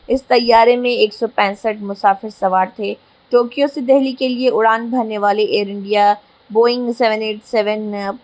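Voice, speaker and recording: A woman's voice: medium pitch, poor audio. Female newsreader, middle register, formal scripted bulletin delivery, slightly stumbling here and there. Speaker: news presenter. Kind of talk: scripted news narration.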